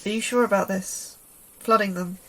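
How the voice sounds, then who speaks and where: Anxious actress as scientist amid loud noise. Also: female voice, mid-range voice, fairly even intonation, normal speed, lightly acted. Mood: worried. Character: anxious, principled female scientist, reluctant. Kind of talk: sci-fi horror audio drama acting.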